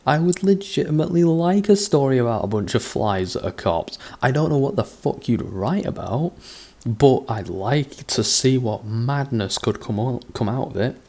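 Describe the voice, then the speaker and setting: A man's voice: medium pitch, animated, normal speed, mellow. A man doing a sarcastic reading of a book, breaking off into sweary, exasperated mockery. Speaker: comedic book reader. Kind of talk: sarcastic dramatic reading with commentary.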